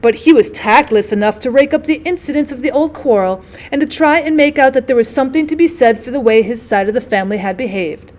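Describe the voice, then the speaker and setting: A woman's voice: high voice, fast, few pauses, a little mellow, lightly acted. A woman voicing a genteel, indignant, drily witty lady who tells her mishaps in a wordy, emphatic way for a story reading.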